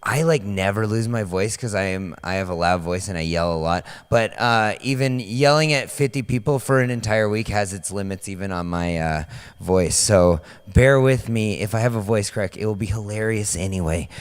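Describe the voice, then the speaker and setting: Slightly hoarse young pastor, echoing hall. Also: male voice, fairly low pitch, moderate pace. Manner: loose, chatty, many fillers and restarts. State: voice partly lost, slightly hoarse. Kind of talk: casual sermon. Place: large dark stage in a church hall. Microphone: handheld mic. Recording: long hall reverb.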